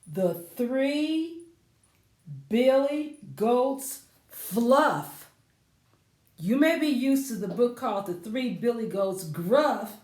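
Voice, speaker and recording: A woman's voice: on the high side, a little mellow. A woman hosting a children's storytime, reading aloud and explaining things simply with questions for young listeners. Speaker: children's librarian storytime host. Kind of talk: children's storytime read-aloud and sing-along. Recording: echoey room.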